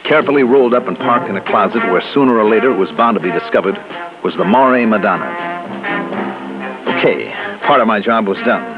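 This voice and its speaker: Low, animated American hard-boiled investigator, man acting. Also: fast talker, lightly acted. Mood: wry, storytelling. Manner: wry, clipped, confident. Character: wry, hard-boiled male insurance investigator narrating his case. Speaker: lead investigator and narrator. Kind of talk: 1950s radio noir narration and dialogue. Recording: muffled old radio recording with music.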